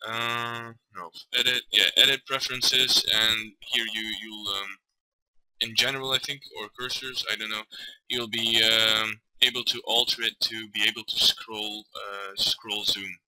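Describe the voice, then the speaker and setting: A man from Belgium, hesitantly talking through a software tutorial with lots of fillers and self-corrections. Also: lowish voice, steady tone, unhurried, no room sound, rough recording. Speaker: tutorial maker. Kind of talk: casual screen-recorded software tutorial. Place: at a computer desk.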